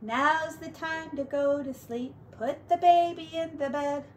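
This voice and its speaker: A woman teacher speaks to young children in a high voice at an unhurried, very slow pace. Her delivery is expressive and sing-song, with stretched words, and there is slight noise in the background. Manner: expressive, drawn-out words, hushed asides.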